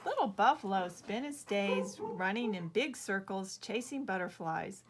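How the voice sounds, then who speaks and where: A woman reading her own children's book aloud as a measured, expressive storyteller. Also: medium pitch, background noise. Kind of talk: storybook narration read aloud.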